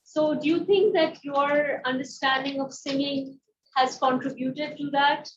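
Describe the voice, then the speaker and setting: High-pitched woman, echoey and distant. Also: steady tone. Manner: halting, searching for words. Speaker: interviewer. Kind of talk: conversational literary interview. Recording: dull, echoey, distant, noisy pickup.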